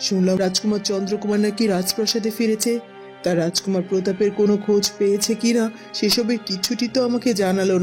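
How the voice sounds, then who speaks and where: A middle-aged queen mother in a cartoon fairy tale, played by a woman, authoritative and anxious. Her voice sits at a medium pitch, with faint music behind it. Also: darkish tone, close to an everyday voice. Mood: commanding.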